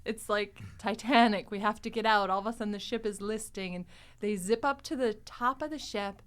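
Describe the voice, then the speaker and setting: A woman hosts a casual podcast conversation. She retells scenes in an animated way, slipping into quoted dialogue as she goes. Her talk is informal and conversational, at a middling pitch. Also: brisk. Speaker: podcast host recapping an audio drama.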